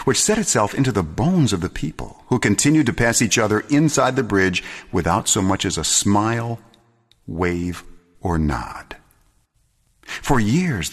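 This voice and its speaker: Deep-voiced male storyteller, expressive and measured. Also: breathy edge. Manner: measured, solemn, expressive storytelling with deliberate pauses. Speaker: storyteller, narrator. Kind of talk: dramatic scripted story reading.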